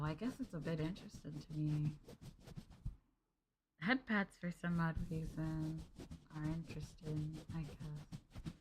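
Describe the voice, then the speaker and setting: Young woman, soft and gentle, giggly, hushed asmr chat, faint hiss behind. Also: deep voice, low-quality recording. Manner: soft, gentle and giggly, often dropping to a hush. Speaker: streamer. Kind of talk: cozy maid roleplay ASMR stream, chatting with viewers.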